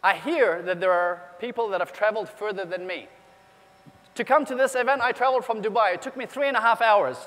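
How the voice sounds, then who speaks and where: A man with a high voice delivers a motivational keynote to a convention crowd. He keeps the audience involved with questions and calls for applause. His voice rings out with a long hall reverb. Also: fairly quick, fairly bright tone. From New Zealand. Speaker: motivational speaker.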